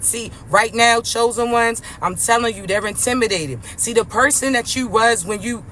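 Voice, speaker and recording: A speaker with a raspy voice giving an emphatic motivational spiritual message to viewers, often checking in with the listener. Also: mid-range voice, moderate pace, bright, forceful tone, a little hiss or hum. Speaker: spiritual message content creator.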